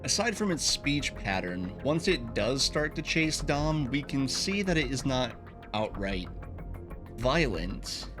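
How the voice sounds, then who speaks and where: A man's voice: medium pitch, big pitch swings, takes it slowly. A man hosting a video essay, reading a scripted, analytical explanation. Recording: voice over background music. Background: background music.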